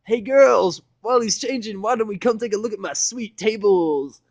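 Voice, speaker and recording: Man doing a breezy, high-pitched female pony roommate. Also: normal speed, vocal fry, no echo. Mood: inviting. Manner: breezy, slangy. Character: laid-back adult female pony, breezy slangy roommate. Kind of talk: amateur fan fiction audio reading.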